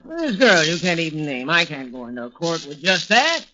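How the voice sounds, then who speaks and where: Forceful fatherly lawyer, vintage radio. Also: fairly high pitch, big pitch swings, normal speed. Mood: worried. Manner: emphatic, paternal, world-weary. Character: exasperated, fatherly defense lawyer, older than client. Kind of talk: 1940s radio drama acting. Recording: dull 1940s radio recording, some noise.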